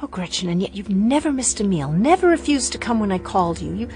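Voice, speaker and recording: A young actress playing a rebellious, impassioned daughter in a radio drama. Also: female voice, medium pitch, big pitch swings, fast, darkish tone. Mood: earnest. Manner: emotional, defiant, impassioned.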